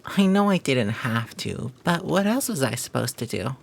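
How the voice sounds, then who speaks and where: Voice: medium pitch, very animated, moderate pace, creaky. A performer playing a flirty, devoted maid who teases and gossips in formal, flowery phrasing, in a scripted romantic audio roleplay. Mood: affectionate, teasing.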